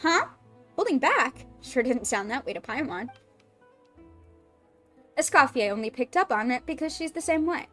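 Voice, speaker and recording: A woman's voice: high-pitched, sing-song, normal speed, pauses often, sharp tone, soft background music, full character voice. A woman voicing a tiny, chatty floating companion creature, nosy and blunt.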